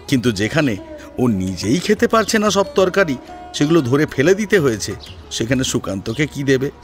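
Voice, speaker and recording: A man narrating a scripted domestic drama as a storyteller, in an expressive, dramatic style. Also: medium pitch. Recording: music behind the voice.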